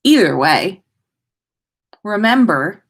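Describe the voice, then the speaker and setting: Female livestream host and storyteller: informal, expressive, slower-paced, with a very animated pitch. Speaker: podcast livestream host reading listener stories. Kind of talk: casual livestream chat and expressive story reading.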